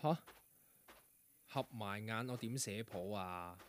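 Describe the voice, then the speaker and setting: A man's voice: on the low side, slow pace. An actor playing a weary, grumbling young male composer in a radio drama. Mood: exasperated. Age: young adult. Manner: grumbling, dry, sighing. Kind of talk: Cantonese radio drama acting.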